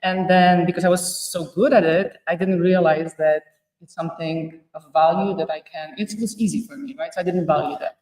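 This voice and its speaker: A woman's voice: on the low side, a little fast. Woman from poland chatting on a podcast, laughs a lot, echoey room, mic kinda far. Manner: laughs often, lively, searching for words. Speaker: podcast guest. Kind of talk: casual interview chat.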